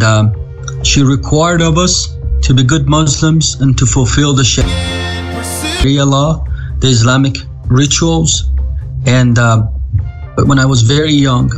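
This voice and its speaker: A man in his thirties from Iran with an Iranian accent, a native Farsi speaker, telling his life story earnestly over a video call, halting with frequent restarts. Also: mid-range voice. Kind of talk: personal testimony.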